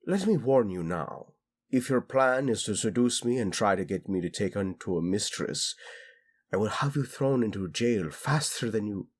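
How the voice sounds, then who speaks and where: Man acting a husky, imperious prince. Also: lowish voice, lively intonation. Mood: haughty, suspicious. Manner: formal, deliberate speech sprinkled with Spanish. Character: haughty, teasing Spanish-speaking fantasy prince, courtly and imperious. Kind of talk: scripted romantic audio roleplay.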